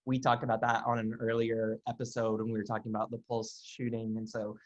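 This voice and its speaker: A man's voice: lowish voice, completely flat delivery, brisk. A man hosting a podcast, keeping his turns brief and friendly as he thanks the guests and hands the turn over. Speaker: podcast host. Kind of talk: hosting a group conversation. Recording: dull lo-fi sound.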